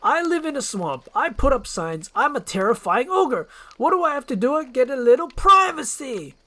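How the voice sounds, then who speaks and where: A woman's voice: high-pitched, big pitch swings, normal speed, bright, forceful tone, pitched above the performer's own voice, exaggerated voice. A woman voicing a grumpy, exasperated male ogre in a dramatized screenplay reading, with a blustering, exclamatory manner. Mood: indignant.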